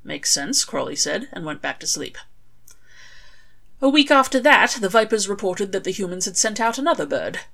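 A woman narrating a scripted story in an expressive, wry storytelling manner. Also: mid-range voice, normal speed, creaky. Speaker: author reading own fan fiction.

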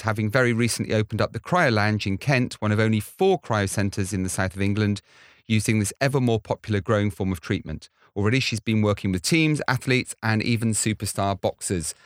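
A man's voice: fairly low pitch, fairly quick, dry recording, studio quality. A man hosting a podcast, introducing and interviewing a guest in a conversational, articulate way. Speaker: podcast host.

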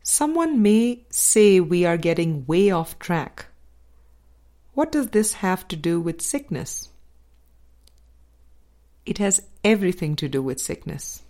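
A woman narrating a spiritual dialogue book as a scripted audiobook reading, with measured, deliberate phrasing and pauses. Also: fairly low pitch, big pitch swings, warm tone. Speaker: audiobook reader.

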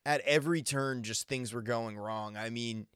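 Halting, informal man in casual conversation. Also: medium pitch, a bit bright, clean tone, no echo, studio quality. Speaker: prank caller and podcast guest. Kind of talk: casual, hesitant phone chat.

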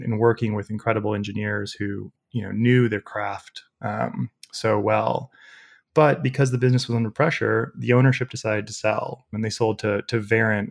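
A young man with a loud voice, a podcast guest telling stories casually with many fillers. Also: on the low side, vocal fry. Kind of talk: casual storytelling interview.

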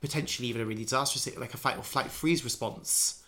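A man's voice: medium pitch, fast talker, fairly bright tone. A man in casual conversation, musing at length with many restarts and fillers. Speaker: conversation partner.

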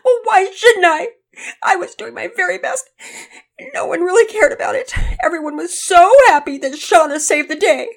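A woman's voice: extremely high pitch, normal speed, pitched above the performer's own voice. A woman voicing an upset young girl in a storybook, tearful and indignant, with a whiny, wailing delivery. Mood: sulky protest.

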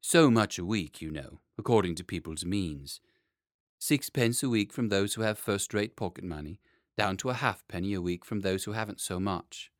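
A man voicing a grave, formal, courtly gentleman statesman with a solemn, stately manner in a storybook. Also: on the low side, normal speed, dry recording, studio quality, close to an everyday voice. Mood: solemn, explaining.

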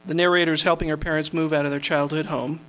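Halting man hosting a reading. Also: a little high, flat delivery, a bit bright. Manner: halting, lots of fillers. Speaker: host of a reading series. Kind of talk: introducing readers.

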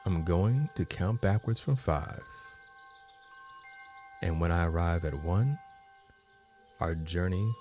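A man narrating a guided meditation as host, in measured phrases with long pauses between them. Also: deep voice, warm tone, faint music behind.

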